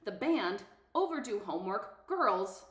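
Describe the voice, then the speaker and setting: A woman's voice: mid-range voice, very animated, measured pace, a bit bright, echoey room. A woman hosting a read-aloud, introducing and reading from a children's novel for her listeners. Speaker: host of a book read-aloud channel.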